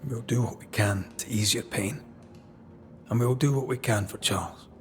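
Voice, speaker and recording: A man playing a calm ghost hunter in a dramatic video game, speaking gently and reassuringly. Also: mid-range voice, moderate pace, faint music behind, lightly acted.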